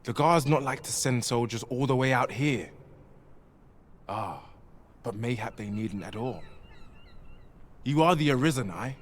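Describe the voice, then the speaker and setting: An actor playing a polite, earnest displaced villager in a fantasy video game, with courteous archaic phrasing. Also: male voice, mid-range voice, lively intonation, a little slow, long pauses, a little hiss or hum, lightly acted. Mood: worried, pleading.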